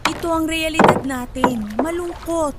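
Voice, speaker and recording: A woman's voice: very high voice, measured pace, heavy background noise. An actress playing a sad but resolute young woman pleading with her lover in a radio drama. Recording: loud music under dramatized dialogue.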